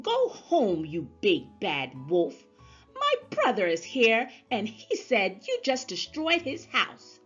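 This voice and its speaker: A woman voicing a frightened, indignant male pig in a children's storybook, curt and accusing as he yells. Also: on the high side, huge pitch swings, takes it slowly, slightly rough, muffled recording, faint music behind, exaggerated voice. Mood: suspicious.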